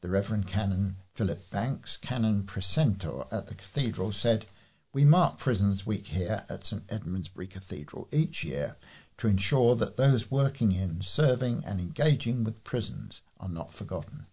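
Male volunteer newsreader, lowish husky voice, informal delivery with the odd stumble. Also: lively intonation, normal speed, mellow. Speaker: volunteer local news reader. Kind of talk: reading news articles aloud.

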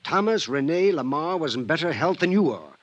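Husky, rambling, troubled insurance man. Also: a little high, animated, normal speed, no room sound, highs cut off. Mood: earnest, grave. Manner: hesitant, circling, earnest. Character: rambling, troubled male insurance man, wistful old family friend. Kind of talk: 1950s radio drama acting. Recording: vintage 1950s radio recording.

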